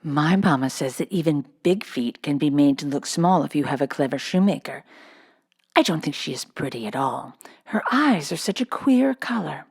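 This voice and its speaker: Woman voicing a gossipy schoolgirl, nearly thirteen, sniffy, spiteful, husky, creaky, storybook character. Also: low-pitched, expressive, moderate pace, full character voice.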